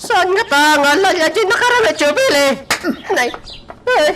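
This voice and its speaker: A woman's voice: very high voice, animated, moderate pace, background noise. A woman with an Ilocano accent acting an agitated villager in a radio drama. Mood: agitated, anxious.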